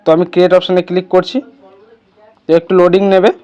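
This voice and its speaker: Male tutorial host: high-pitched, smooth clear voice, casual and instructional, step by step. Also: completely flat delivery, fast, dark tone, muffled recording. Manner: instructional, addresses viewers as friends.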